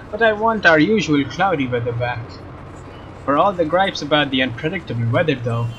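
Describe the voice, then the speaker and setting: A man narrating a visual novel as a let's player, expressive and informal, with casual asides. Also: a little high, slight background noise. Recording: dull lo-fi sound, music behind. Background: game music with guitar.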